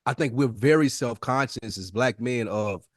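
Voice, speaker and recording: A man's voice: medium pitch, normal speed, dry recording, clean studio sound. A man co-hosting a podcast in casual discussion, emphatic and repeating phrases for stress. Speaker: podcast co-host.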